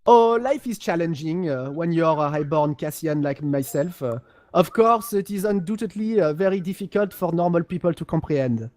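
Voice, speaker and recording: A man voicing a pompous, condescending highborn aristocrat of a galactic empire in a halting, haughty delivery, as in an amateur role-play of game lore. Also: expressive, darkish tone, dry recording, close to an everyday voice. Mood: self-satisfied.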